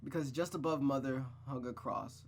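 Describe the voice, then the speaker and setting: A host reading a visual novel aloud, then breaking off into blunt, excited asides. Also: medium pitch, normal speed, slight background noise. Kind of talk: narration with casual reactions.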